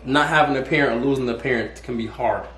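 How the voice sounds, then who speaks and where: A man's voice: medium pitch, fast talker, a bit bright. A young man hosting a casual interview, rambling and restarting his questions. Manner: rambling, restarts and repeats words. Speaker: host. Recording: echoey room.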